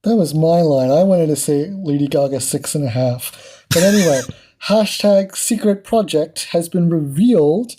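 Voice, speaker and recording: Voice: mid-range voice, unhurried. A podcast co-host chatting casually and eagerly, with frequent ums while recapping news. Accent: not Australian. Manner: excitable, many um fillers. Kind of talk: casual chat.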